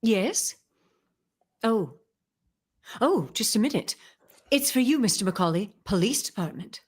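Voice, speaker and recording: A woman's voice: mid-range voice, lively intonation, a little slow, darkish tone, a little hiss or hum, natural voice. A woman playing a witty, teasing, spirited detective's wife in a vintage radio mystery. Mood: let down. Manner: wry, playful teasing.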